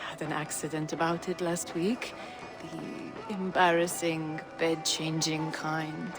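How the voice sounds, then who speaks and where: Low-voiced, wry trade merchant. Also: female voice, level tone, takes it slowly. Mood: dry, gossipy. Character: shrewd, wry old trade merchant, gossipy and teasing. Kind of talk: game voice acting. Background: music.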